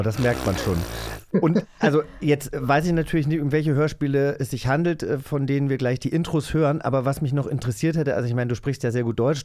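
A man with a voice of ordinary pitch hosts a podcast. He is from Germany and talks casually, steering a quiz game in a chatty, informal style. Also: dark tone. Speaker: podcast host.

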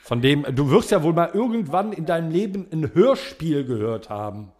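A man co-hosting a podcast in casual comic chat, joking with halting delivery. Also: on the high side, big pitch swings, a little mellow. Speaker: podcast host.

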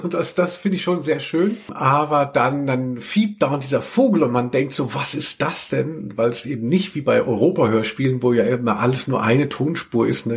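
A man co-hosting a podcast in casual chat, rambling along with wry humour. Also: medium pitch. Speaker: podcast host.

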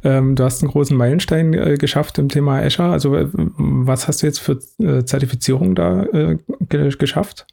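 Gravelly-voiced podcast host. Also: male voice, a little high, normal speed, few pauses, warm tone. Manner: hesitant, many fillers and restarts. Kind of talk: casual interview chat.

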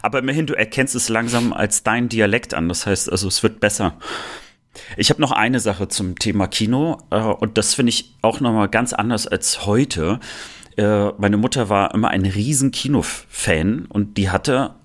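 A man's voice: fairly low pitch, moderate pace. A man from the former Soviet Union, co-hosting a podcast, talking casually with many fillers and restarts. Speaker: podcast co-host. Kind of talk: casual chat.

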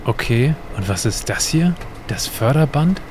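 A man's voice: mid-range voice, animated, a little slow, natural voice. Man acting a curious time traveller, noisy background. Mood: curious, amazed. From Baltic coast, northern Germany. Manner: explanatory, conversational. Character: curious, chatty male time traveller on a field trip. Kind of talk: amateur educational audio drama dialogue.

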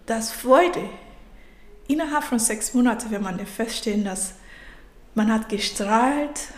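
A woman in her thirties, born and raised in Africa, speaks German as a learned language and tells her story slowly and thoughtfully, often breaking off and starting a sentence over. Her voice sits fairly high, and the room gives it a noticeable echo. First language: not German. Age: 30s. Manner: reflective, halting, restarts mid-sentence. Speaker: podcast guest. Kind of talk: personal story in interview.

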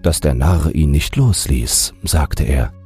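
Very deep, gravelly, slow male storyteller. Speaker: audiobook narrator. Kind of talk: scripted bedtime fairy-tale narration. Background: soft music.

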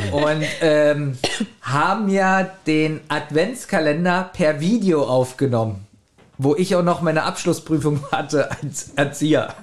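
A man's voice: a little high, slow, slight background noise. A man co-hosting a podcast, talking in casual banter. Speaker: podcast co-host.

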